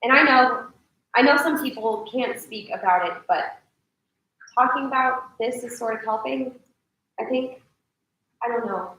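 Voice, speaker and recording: A young actress in a staged reading playing a shaken, apologetic woman confiding in friends, speaking haltingly. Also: on the high side, sharp tone, slight background noise. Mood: hesitant, shaken. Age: young adult. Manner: halting, hesitant. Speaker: actor. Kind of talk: staged play reading, naturalistic drama. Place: large echoey indoor room. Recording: echoey hall, distant microphone.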